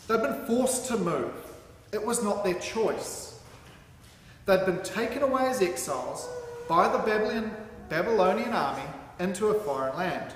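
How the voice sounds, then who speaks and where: A man reading a sermon aloud from a script in a deliberate, emphatic way, standing at a microphone in a large hall. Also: high-pitched, animated. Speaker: sermon reader. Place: large hall with curtained stage, indoors. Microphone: microphone on a stand at a lectern. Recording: very echoey hall with some background noise.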